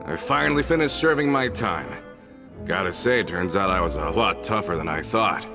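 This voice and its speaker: An actor playing a tough, loyal gang member back from prison, informal and emotionally expressive, in a video game. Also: male voice, deep voice, moderate pace, over music. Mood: relieved, chatty. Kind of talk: video game dialogue acting.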